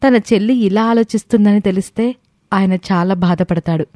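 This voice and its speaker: A woman playing a thoughtful, protective young wife in an audio story, speaking in an earnest, measured way. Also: mid-range voice, warm tone, dry recording, studio quality, natural voice. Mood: worried, reflective. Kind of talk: scripted audio story dialogue.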